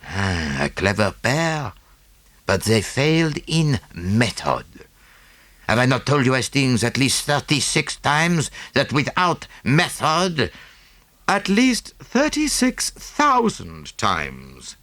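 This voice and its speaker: A dapper little Belgian detective with a French-speaking accent. He is pleased with himself and lectures with relish, his pitch leaping about wildly, in a close, dry audiobook sound. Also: wildly swooping pitch, slow pace, a bit bright, no room sound, exaggerated voice. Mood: didactic. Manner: didactic, punctuated with "eh?" and French phrases. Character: small fussy Belgian detective, self-satisfied, theatrical, tranquil.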